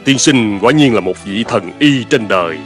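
A man's voice: lowish voice, expressive, normal speed, fairly bright tone, faint music behind. A man playing a bold, unflinching warrior general in a dubbed historical cartoon, commanding and assured. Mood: hearty.

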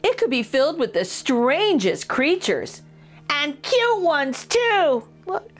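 A middle-aged woman hosting a children's storytime, reading a picture book aloud with expressive, exaggerated intonation. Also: high-pitched, slow pace, soft background music. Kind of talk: animated read-aloud for young children.

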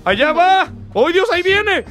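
A young man hosting a vlog in a loud, playful, exclamatory way. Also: very high-pitched, sing-song, unhurried, faint music behind. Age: young adult. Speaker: host of a costume vlog. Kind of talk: excited vlog presenting. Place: costume shop and outside its storefront. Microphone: handheld camera at arm's length.

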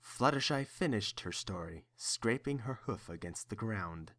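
A man with a fairly low voice serves as narrator. He reads scripted story narration, setting out the action between lines of dialogue. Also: expressive, a little slow, a bit bright.

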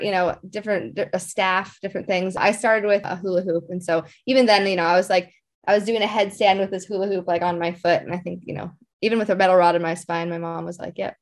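A young adult woman telling personal stories as an interview guest, in an informal, rambling way peppered with filler phrases. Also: medium pitch. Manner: informal, rambling, frequent "like" and "you know". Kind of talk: casual conversational interview, personal storytelling.

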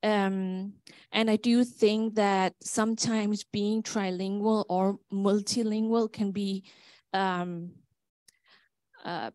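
A young woman from Denmark whose first language is Danish, making a halting, earnest comment from the audience at a conference. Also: mid-range voice, a little mellow, no room sound. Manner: halting and earnest, pausing often. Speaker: doctoral student commenting from the audience.

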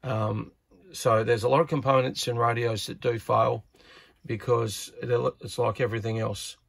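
Husky, gravelly man talking shop. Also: mid-range voice, little pitch movement, normal speed, no echo. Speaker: radio repair hobbyist presenter. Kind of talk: casual hands-on repair commentary. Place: indoors at an electronics workbench.

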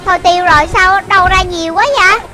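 A childlike voice giving excited running commentary on a video game for children, full of exclamations and repeated short phrases, with loud music behind it. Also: extremely high pitch, quick pace.

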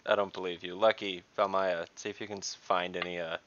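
A young man playing a tabletop game on a video call, speaking slowly and deliberately with fillers. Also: low voice, little pitch movement, a bit bright, dry recording. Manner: slow, deliberate speech with fillers. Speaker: player. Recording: dull video call audio.

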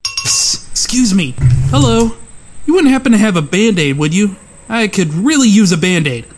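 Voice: medium pitch, expressive, normal speed, background noise, natural voice. An actor playing an unhinged, self-loathing young male killer and recluse in a dramatized comic, with a theatrical, wordy delivery that swings between brooding and shrieking. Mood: awkward, polite.

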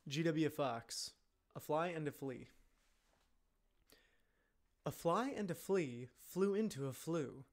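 Man reading scripts, slightly higher-pitched voice. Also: takes it slowly, long pauses. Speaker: amateur voice actor. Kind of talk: scripted narration, practice reads. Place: home room. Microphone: close desk microphone with pop filter.

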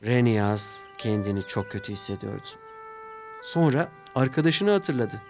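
A man narrating a scripted children's story in an expressive storytelling style. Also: lowish voice, measured pace. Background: orchestral music with brass.

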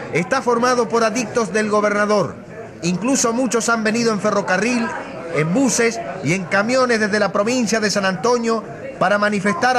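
A woman acting an excited live radio reporter in a radio drama, speaking urgently and projecting, sometimes breaking off and repeating herself. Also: mid-range voice, close to an everyday voice. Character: excited female radio reporter amid a packed crowd. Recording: loud crowd noise behind. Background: noisy crowd.

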